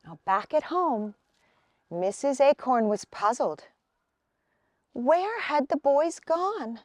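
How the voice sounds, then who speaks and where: Slow, high-pitched, expressive woman storyteller. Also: no room sound. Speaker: storyteller reading a picture book aloud. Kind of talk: expressive children's storybook narration.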